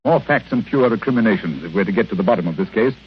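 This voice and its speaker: A man acting a sardonic, impatient gentleman detective in a 1930s radio drama, clipped and dismissive, on a muffled, noisy old recording. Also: lowish voice, animated, racing, music in the background, lightly acted. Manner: clipped, dismissive, dryly amused.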